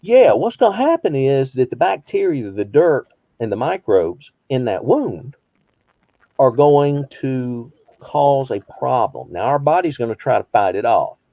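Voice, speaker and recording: A man's voice: mid-range voice, big pitch swings, measured pace, dark tone, dry recording. A man in his fifties, a behavioral health counselor, teaching a small group conversationally, posing rhetorical questions and repeating phrases for emphasis. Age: 50s.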